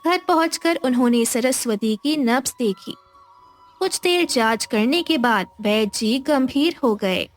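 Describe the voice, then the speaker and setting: A woman's voice: fairly high pitch, lively intonation, a little slow, soft background music. A woman narrating a scripted moral tale as a storyteller.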